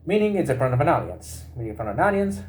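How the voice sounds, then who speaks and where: A man with a medium-pitched voice reads a prepared educational text aloud. His delivery is halting, and he often stops partway into a word and says it again. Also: very animated, darkish tone, a little hiss or hum.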